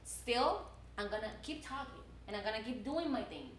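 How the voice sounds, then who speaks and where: A young Cuban woman talks in English with a Spanish accent, in a voice a little higher than most women's. She is lively and often doubles words as she gets going. The room is echoey and has a faint noise in the background. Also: moderate pace. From Cuba. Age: 20s. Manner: animated, repeats words when starting a thought. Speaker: journalism student guest. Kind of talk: casual chat.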